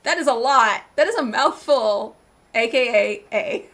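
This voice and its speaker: A woman's voice: a little high, lively intonation, a little slow, fairly bright tone. A woman giving casual, chatty video game playthrough commentary, thinking aloud over game music.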